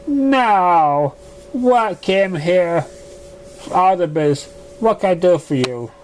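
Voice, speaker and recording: A man voicing a dramatic, genteel female pony dressmaker in a theatrical, drawn-out, affected manner. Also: high-pitched, lively intonation, a little hiss or hum, voice pushed up, rough recording. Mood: eager, gracious.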